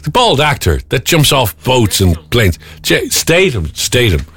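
A man's voice: mid-range voice, very animated, measured pace, fairly bright tone, slightly rough. A man hosting a breakfast radio show, chatting casually and joking. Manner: chatty, jokey, slips into silly voices. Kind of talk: casual morning radio chat. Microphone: studio microphone.

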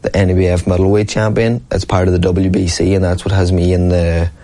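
A man's voice: low-pitched, little pitch movement, fairly quick, mellow. A man, a professional boxer talking earnestly and at length in an interview, often asking "you know what I mean". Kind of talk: casual interview talk.

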